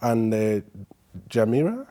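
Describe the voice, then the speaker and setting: A man's voice: mid-range voice, very animated, slow pace, mellow. A man with a Nigerian accent hosting a casual interview, curious and encouraging, often repeating his guest's words. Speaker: podcast host.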